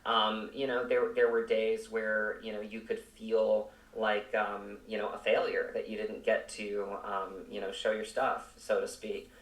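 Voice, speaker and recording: Low-voiced man in an echoey room. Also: completely flat delivery, measured pace, few pauses. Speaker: podcast guest, actor. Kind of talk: reflective interview talk. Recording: roomy echo, distant from the mic.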